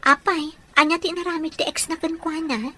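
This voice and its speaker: An actress playing a caring, inquisitive young bride-to-be in a radio drama, expressive and questioning. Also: female voice, very high-pitched, a little fast. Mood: curious.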